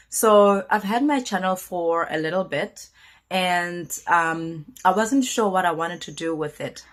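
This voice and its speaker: A woman in her late twenties talks to her viewers in a candid, chatty vlog. Her voice is low and a bit husky from a cold. She pauses and hedges often as she tells her story. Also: a bit bright. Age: late 20s. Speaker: vlogger. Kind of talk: casual personal vlog.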